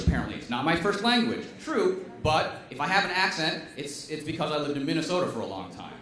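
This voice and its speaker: Guy doing a funny science talk, lively up and down voice, lots of room echo, mic kinda far. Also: high-pitched. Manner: wry, emphatic, punchy delivery. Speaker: chemistry professor giving a comedic science talk. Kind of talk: stand-up style science talk. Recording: echoey room, voice from a distance.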